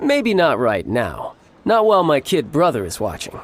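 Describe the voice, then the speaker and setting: A man voicing a cocky, playful young warrior and doting big brother in a video game, smooth-talking and theatrical. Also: fairly high pitch, wildly swooping pitch, moderate pace, slight background noise. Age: young adult. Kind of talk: video game character acting. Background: music.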